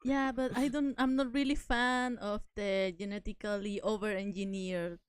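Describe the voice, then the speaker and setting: A woman's voice: medium pitch, steady tone, moderate pace, smooth, no echo. A woman hosting a casual debate chat, giggling often as she talks. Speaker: host.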